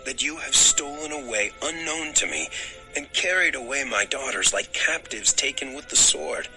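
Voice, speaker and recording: A man's voice: medium pitch, animated, moderate pace, sharp tone, soft background music, poor audio. An actor playing an aggrieved, stern older father-in-law, accusing and declamatory, in a dramatized scripture recording. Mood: indignant, wounded.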